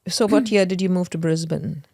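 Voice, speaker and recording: Low gravelly lady host, chatty, keeps saying yeah, dry room. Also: brisk, mellow, no room sound. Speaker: podcast host. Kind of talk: casual interview chat.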